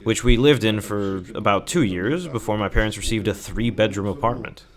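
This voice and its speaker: A man interviewee recounting his childhood in a measured, matter-of-fact way. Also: fairly low pitch, a crowd in the background. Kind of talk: personal recollection in an interview.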